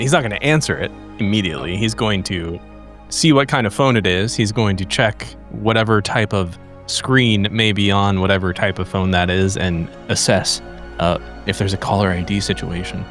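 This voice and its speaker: A man's voice: lowish voice, a little fast, fairly bright tone. A man speaking as a tabletop game player, informal, slangy and playful as he banters and says what his character does. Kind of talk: casual banter and describing his character's actions. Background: background music.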